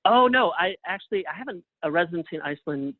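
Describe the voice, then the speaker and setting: A man speaking as an interview guest in a casual, thoughtful, halting way over a tinny phone-like connection. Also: high-pitched, lively intonation, no room sound. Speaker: interview guest, playwright and artist. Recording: narrow tinny phone-like line.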